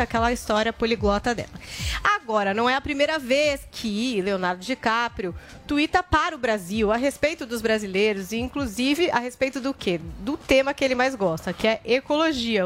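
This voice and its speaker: A woman presenter in chatty news commentary, reading posts aloud. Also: fairly high pitch.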